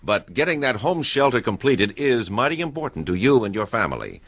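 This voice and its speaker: A man's voice: medium pitch, very animated, a little fast, a bit bright, no room sound. A man delivering earnest, authoritative scripted public service narration.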